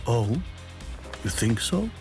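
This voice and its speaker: A calm polite big guardian. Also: male voice, medium pitch, takes it slowly, music in the background. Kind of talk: video game cutscene dub.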